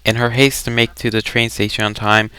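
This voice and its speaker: A man reading a story aloud in deliberate storybook phrasing, with casual asides. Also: lowish voice, level tone, pushed and bright, clear, resonant tone, no echo. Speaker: reader of a fan story. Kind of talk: dramatic read-aloud narration with casual asides.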